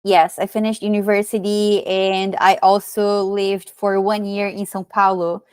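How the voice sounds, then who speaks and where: A woman's voice: mid-range voice, a little slow, clear, resonant tone, no room sound. Brazilian woman telling her story, dry sound. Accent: Brazilian Portuguese. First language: Portuguese. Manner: many fillers and restarts, animated storytelling. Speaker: podcast guest. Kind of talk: casual interview chat.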